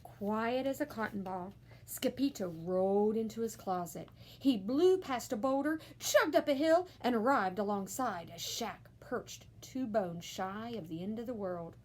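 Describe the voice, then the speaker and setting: A woman reading a children's storybook aloud with expressive, theatrical, emphatic narration. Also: mid-range voice, measured pace. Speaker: school staff member reading aloud.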